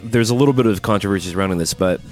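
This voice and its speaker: A man's voice: lowish voice, very fast, darkish tone. A man co-hosting a music podcast, talking casually and informally like an enthusiastic fan. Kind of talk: casual chat about rock music videos.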